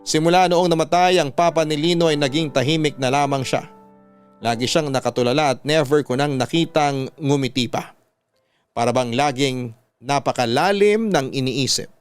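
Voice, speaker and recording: A Filipino man narrating a scripted first-person radio story in a solemn storytelling manner. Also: fairly high pitch.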